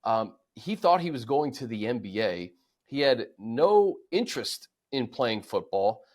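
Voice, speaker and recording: A man's voice: mid-range voice, very animated, unhurried, darkish tone. An American man hosting a sports show, talking conversationally and stressing key points as he recaps stats. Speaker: sports podcast host. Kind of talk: casual sports talk.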